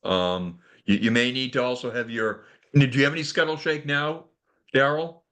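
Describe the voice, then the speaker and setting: A man with a clear-cut, bright and forward voice hosts a casual technical conference call. His delivery is halting, and he often repeats a word before carrying on. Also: medium pitch, steady tone. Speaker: host of a car club technical call.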